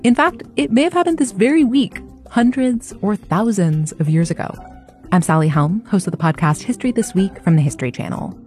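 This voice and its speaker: A woman's voice: medium pitch, expressive, fairly quick, darkish tone. A woman hosting a podcast, reading a scripted promo. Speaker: podcast host. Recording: faint music bed behind the voice.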